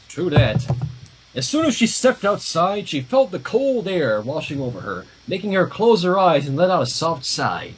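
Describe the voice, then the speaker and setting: A man's voice: on the high side, breathy edge, poor audio. A man reading a story aloud informally on a livestream, dropping in casual asides. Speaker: livestream fan fiction reader.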